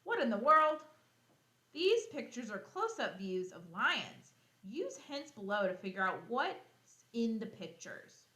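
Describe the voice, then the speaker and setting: A woman teacher reading a children's nonfiction book aloud, expressively, pausing to explain and think out loud for young pupils. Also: fairly high pitch, sing-song, roomy sound. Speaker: elementary school teacher.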